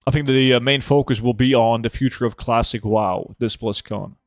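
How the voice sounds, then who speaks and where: A young man streaming a game, chatting casually and answering viewer questions in a relaxed, offhand way. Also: medium pitch, normal speed, no room sound, clean studio sound. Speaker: gaming streamer reading chat. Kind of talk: casual live gameplay chat.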